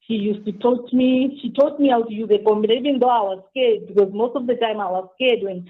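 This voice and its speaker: A woman's voice: on the high side, brisk. A woman in her thirties from Zimbabwe, telling her own story as a phone-in guest, hesitant and often restarting. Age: 30s. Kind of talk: phone-in interview, personal story. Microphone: telephone. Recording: tinny phone line.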